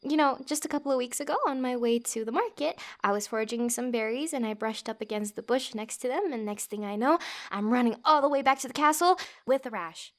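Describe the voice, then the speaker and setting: High-voiced, playful fantasy wanderer played by a woman. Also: natural voice. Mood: teasing, playful. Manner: teasing, halting, breathy little laughs. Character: playful, guarded young woman forager, a fantasy woodland wanderer. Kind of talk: ASMR fantasy roleplay acting.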